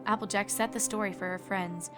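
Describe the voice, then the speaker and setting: A woman's voice: medium pitch, steady tone, fairly quick. A woman reading scripted third-person narration for a fan fiction story. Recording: background music under the voice.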